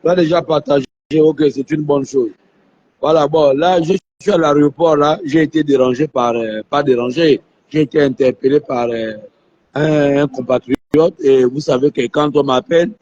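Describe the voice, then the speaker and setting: A man hosting a live stream from an airport on his phone, hoarse and coughing from a flu, repeating himself to his viewers. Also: on the high side, steady tone, moderate pace, a little mellow. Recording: muffled phone audio.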